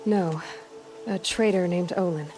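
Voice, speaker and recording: A woman's voice: fairly low pitch, unhurried, music in the background, lightly acted. A woman playing a young outcast huntress in a video game, determined, curious and blunt. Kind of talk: video game cutscene acting.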